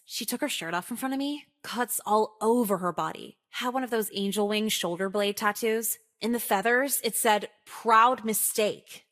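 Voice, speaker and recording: A young woman with an American accent playing a cynical, self-absorbed high-school girl in a visual novel, talking in a casual, slangy, sardonic way. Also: fairly bright tone, close to an everyday voice. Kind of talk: visual novel voice acting, first-person monologue.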